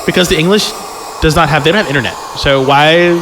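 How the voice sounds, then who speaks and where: A man's voice: on the high side, fast, very noisy. A man reading a horror story aloud as a podcast host, stumbling over words and dropping in jokey, casual asides. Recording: echoey hall sound with music.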